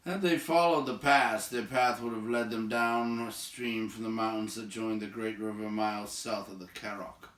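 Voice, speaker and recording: A man hosts a storybook read-aloud, moving between narration and informal commentary. His voice sits a little low but has a bright, forward edge. The room adds a clear echo around his words. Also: fairly even intonation, moderate pace, hardly any pauses. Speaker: read-aloud host and reader. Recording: roomy, echoing home recording.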